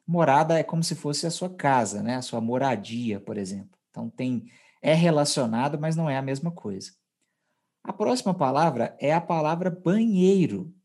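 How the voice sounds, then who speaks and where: Husky Brazilian man teaching, mellow voice. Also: mid-range voice. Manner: explanatory, checks in with co-host. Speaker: podcast host teaching Portuguese. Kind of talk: conversational language lesson.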